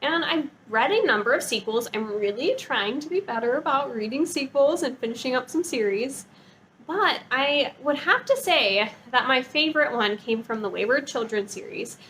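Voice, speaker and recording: Woman vlogger, kinda high voice, gushy and chatty, super informal, bit of noise behind her. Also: expressive, moderate pace, fairly bright tone, low-quality recording. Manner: gushing, enthusiastic, informal. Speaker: book review vlogger. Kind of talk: casual chatty vlog.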